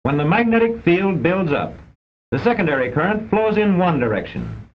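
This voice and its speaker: A man with an American accent delivers formal scripted narration for a vintage instructional film, measured and didactic with precise enunciation. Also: sits high, wildly swooping pitch, slight background noise. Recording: dull, band-limited old film soundtrack.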